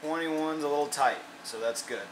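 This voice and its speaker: A man's voice: fairly high pitch, normal speed, slight background noise, poor audio. A man casually narrating an engine rebuild in a workshop, thinking aloud with fillers and restarts as he measures parts. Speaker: mechanic demonstrating an engine rebuild. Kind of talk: casual how-to narration while working. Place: workshop garage.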